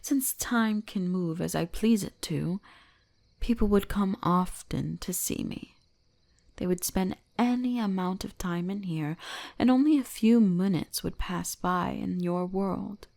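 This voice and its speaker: A woman voicing a teasing, gently dominant kitsune shrine maiden in an intimate ASMR roleplay, often slipping into whispers. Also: medium pitch, unhurried, vocal fry, no room sound. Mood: gentle, possessive.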